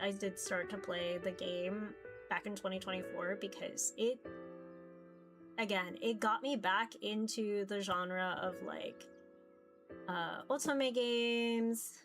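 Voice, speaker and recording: Woman streamer chatting haltingly over music. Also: medium pitch, fairly bright tone. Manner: rambling, halting, peppered with "like". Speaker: streamer playing visual novels. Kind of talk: casual livestream chat, reading game text aloud. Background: background music.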